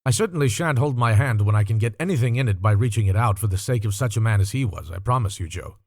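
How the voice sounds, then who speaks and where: A man's voice: fairly low pitch, animated, fast talker, darkish tone, dry recording, clean studio sound. A man voicing a bold, callous working-class woman thief in a storybook, with a brash, scornful, colloquial manner. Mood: brazen, callous.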